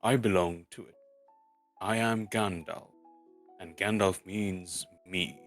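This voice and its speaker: A man's voice: deep voice, fairly even intonation, slow pace, fairly bright tone, exaggerated voice. A man voicing a grand, commanding old wizard with theatrical, declamatory delivery in a storybook reading. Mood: indignant, grand. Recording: music playing behind the voice.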